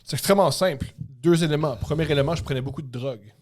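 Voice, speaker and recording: A man's voice: fairly high pitch, quick pace. A man, a comedian and writer, answering interview questions in a frank, matter-of-fact way. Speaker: comedian and writer, podcast guest.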